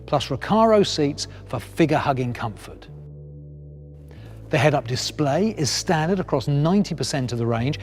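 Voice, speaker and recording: A man delivers scripted promotional narration in a slightly high voice that moves up and down with plenty of animation. His phrasing is polished and persuasive, leaning on key words for emphasis. Music plays along with him. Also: a little mellow. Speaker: presenter of a car sales training film.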